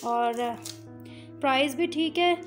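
A woman's voice: high voice, takes it slowly, over music. A woman vlogger giving a casual product review at home, chatty and full of hesitations. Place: home, indoors.

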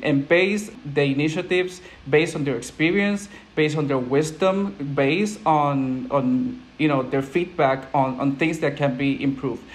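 A young man from Puerto Rico, a native Spanish speaker with a Puerto Rican accent, speaking earnestly as a panel guest over a video call with wired earphones. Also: fairly high pitch. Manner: earnest, thoughtful, measured. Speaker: program manager, panel guest. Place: indoors at home, plain wall. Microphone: earphone headset on video call.

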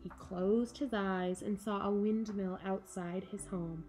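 An American woman in her thirties hosting a children's storytime with animated enthusiasm, presenting and reading aloud in a room at home. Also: medium pitch, takes it slowly, faint music behind. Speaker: camp director hosting a children's story corner. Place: small furnished room at home, indoors.

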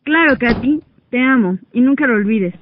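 Gentle mom in a radio play, woman acting, really high voice, thin phone-like audio. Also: animated, moderate pace, slight background noise, lightly acted. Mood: tender. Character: gentle affectionate mother of a teenage daughter. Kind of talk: radio drama dialogue. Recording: dry, thin phone-like amateur recording.

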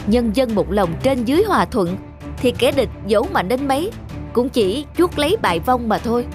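A man voicing a humble young peasant patriot in an animated folk tale, speaking formally with respect and fervor. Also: very high-pitched, moderate pace, over music. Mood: fervent, respectful.

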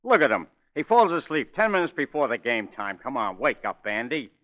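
A man's voice: medium pitch, sing-song, fairly quick, dull audio, lightly acted. An actor playing a brusque, urgent baseball club man in a 1930s radio drama. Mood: irritated. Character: irritated ballclub man turning panicked.

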